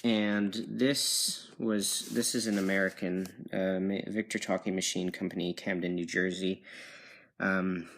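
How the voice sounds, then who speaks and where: A man's voice: low voice, little pitch movement, measured pace, fairly bright tone. A man giving a casual, rambling show-and-tell as a collector, hesitant and often correcting himself, recorded on a handheld phone. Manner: hesitant, unsure, self-correcting. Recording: noisy amateur phone video with clicks.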